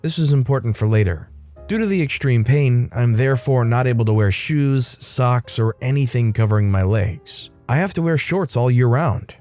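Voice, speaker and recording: Guy reading reddit stories, warm mid voice, soft music behind. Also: mid-range voice, animated, normal speed. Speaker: story narrator. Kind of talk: reading a Reddit post aloud.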